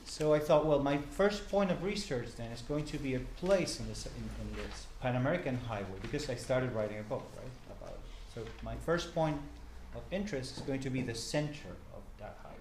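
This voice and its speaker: A man with an Argentine accent gives an informal lecture in English, his second language. He speaks in halting phrases with frequent restarts, at a middling pitch. The echoey room and a faint hiss come through behind him. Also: expressive, a little mellow. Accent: Argentine Spanish. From Argentina (Buenos Aires). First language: Spanish. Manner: halting, many fillers and restarts, asks the room questions. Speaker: guest speaker, journalist and professor.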